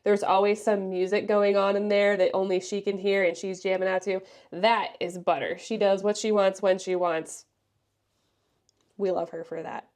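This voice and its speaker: A woman's voice: mid-range voice, darkish tone. Congested woman in her late twenties, sassy book vlogger, chatty, stuffed-up nose, voice in an ordinary middle register. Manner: sassy, chatty, trails off with fillers. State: congested from allergies. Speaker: book reviewer. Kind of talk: casual book review vlog.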